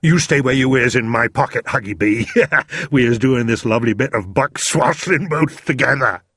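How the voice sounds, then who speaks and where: A man voicing a big, kindly, excitable male giant who mangles his words. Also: medium pitch, moderate pace, husky, no echo, studio quality, pitched above the performer's own voice. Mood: chatty. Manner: jumbled words, broad rustic lilt.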